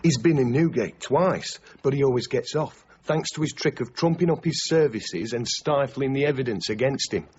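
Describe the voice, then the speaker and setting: An actor playing a plain-spoken, gossipy criminal informant in a period radio drama. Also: male voice, mid-range voice, moderate pace, few pauses, a little mellow, highs cut off, slight background noise, lightly acted. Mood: matter-of-fact. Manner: blunt, gossipy.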